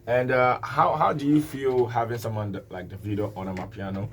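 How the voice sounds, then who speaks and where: A man's voice: medium pitch, steady tone, fairly quick. A man hosting a radio interview in a friendly, loose way, with lots of fillers and hesitations. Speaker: radio host. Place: radio studio.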